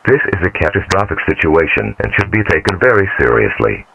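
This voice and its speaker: A man's voice: low-pitched, big pitch swings, fairly quick, creaky, dry recording, dull audio, exaggerated voice. A man's synthetic voice as a grave official announcer reading a formal scripted emergency alert. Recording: very loud noise, hum.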